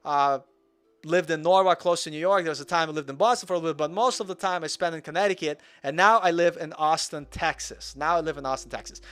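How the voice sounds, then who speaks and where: A man's voice: fairly high pitch, a bit bright, dry recording. Chatty young man walking through code. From Russia. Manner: chatty, rambling, self-deprecating. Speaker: coding tutor. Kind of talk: casual live coding walkthrough.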